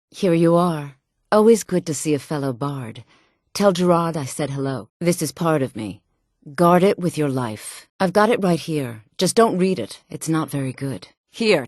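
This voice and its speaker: An actress voicing a sultry, sly fantasy game woman with a smooth, deliberate, coaxing and quietly menacing delivery. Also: low voice, no echo, studio quality. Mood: casual, friendly. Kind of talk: video game character dialogue.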